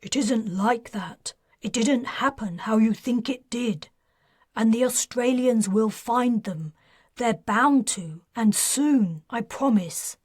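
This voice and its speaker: Brief: guilt-ridden male major read by a woman, urgent and pleading, unhurried. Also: on the high side, a little mellow, husky, no room sound, clean studio sound. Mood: earnest.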